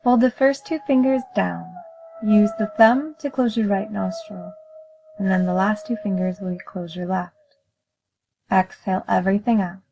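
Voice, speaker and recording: Mellow-voiced woman guiding meditation. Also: medium pitch, vocal fry, faint music behind, low-quality recording. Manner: calm, soothing, measured with gentle pauses. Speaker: guided meditation instructor. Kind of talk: guided meditation narration.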